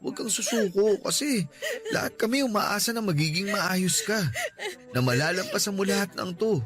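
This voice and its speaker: A man's voice: sits high, animated, moderate pace. A man voicing a devoted young boyfriend who earnestly comforts and pleads with his sick partner in a radio drama. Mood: gentle reassuring.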